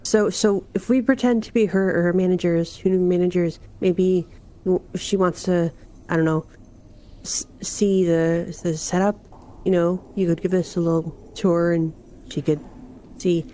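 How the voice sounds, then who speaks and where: A woman takes part in a tabletop roleplaying game as a player. She has a lowish voice and talks casually, improvising as she goes. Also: steady tone, normal speed, mellow.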